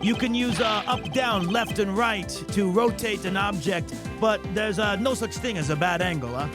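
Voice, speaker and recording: A man's voice: high-pitched, normal speed, over music, lightly acted. A man voicing a bumbling, eager, loyal police detective in a video game, with a hesitant, folksy, stammering way of talking. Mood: eager, chatty. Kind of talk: video game dub played live.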